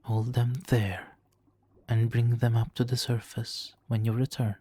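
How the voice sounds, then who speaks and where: A man playing a smug, seductive supervillain hypnotist in an ASMR roleplay, speaking softly and theatrically with lapses into whispers. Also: medium pitch, level tone, a little slow, slightly rough. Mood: pleased, hypnotic.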